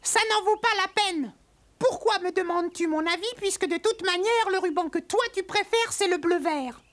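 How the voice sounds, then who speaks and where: A woman's voice: extremely high pitch, normal speed. A woman voicing a stubborn young male dwarf in a French cartoon dub, with a grumpy, drawling manner. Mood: sulky. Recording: music under the voice.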